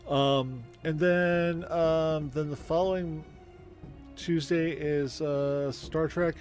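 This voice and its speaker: A man in his forties, the game master, chatting casually and rambling with many pauses. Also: a little high, fairly even intonation, darkish tone, faint music behind. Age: 40s. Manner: rambling, hesitant. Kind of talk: casual stream chat.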